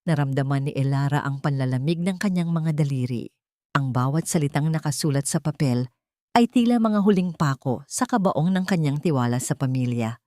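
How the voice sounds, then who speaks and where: A woman with a Filipino accent narrating a scripted dramatic story in a measured, literary way. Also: low voice, expressive, mellow, no echo, clean studio sound.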